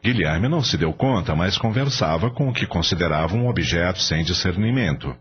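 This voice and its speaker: A man narrating a radio drama in a measured, expressive storytelling style. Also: sits low. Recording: dull lo-fi audio with music behind.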